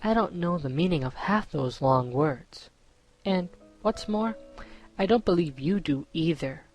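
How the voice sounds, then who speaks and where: A cheeky young eaglet played by a woman in a storybook audiobook, scornful and plain-spoken. The voice is very low-pitched, with faint music behind it. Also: animated, unhurried, darkish tone. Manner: scornful, blunt. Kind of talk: dramatized storybook audiobook acting.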